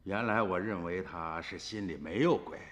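A man's voice: sits low, normal speed, big-room sound, close to an everyday voice. A man playing an earnest, suspicious village uncle in a radio drama, insistent and sighing. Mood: grave recollection. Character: earnest, suspicious rural uncle telling the past to a grandchild. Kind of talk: Chinese radio drama acting and narration. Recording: music behind the voice.

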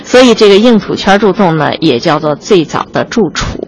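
A woman with a slightly low voice works as a tour guide on location. She explains what is in front of her step by step, in unscripted speech, with clear ambient noise around her. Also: lively intonation, moderate pace. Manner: explanatory, pointing things out step by step. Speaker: museum guide. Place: on location at an exhibition site. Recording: clearly noisy on-site ambience.

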